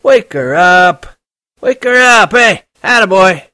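A man voicing a very drunk teenage boy shouting and pleading into a phone. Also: high-pitched, expressive, slow, no room sound, clean studio sound, voice pushed up, exaggerated voice. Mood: drunk yelling.

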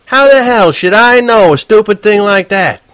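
Guy putting on a cranky middle-aged cab driver, yelling high and loud, rough slang. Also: expressive, normal speed, dry recording. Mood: irritated, yelling. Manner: shouting, touchy, rough street slang.

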